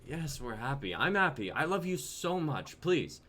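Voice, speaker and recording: A man's voice: fairly high pitch, very animated, measured pace, a bit bright, heavily acted. A man playing an insecure, on-edge young boyfriend who pleads and flares up, in a dramatic script reading. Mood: desperate reassurance. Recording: noisy amateur livestream audio.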